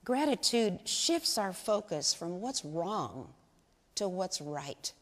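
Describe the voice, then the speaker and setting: A woman's voice: fairly low pitch, sing-song, slow. An older woman giving a chatty, folksy talk to a congregation in a large church sanctuary, on a lapel mic. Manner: chatty, folksy, asks the audience questions. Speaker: lay speaker at women's service. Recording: long hall reverb in sanctuary.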